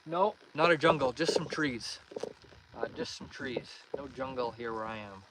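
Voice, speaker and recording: Relaxed young Canadian guy streaming outdoors. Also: medium pitch, normal speed. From Northern Ontario, Canada. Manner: relaxed, friendly, chatty. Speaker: live-streaming fishing host. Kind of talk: casual live-stream chat, reading comments aloud. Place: outdoors on a lake in a canoe. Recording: outdoor stream with clear background noise. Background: water and boat noises.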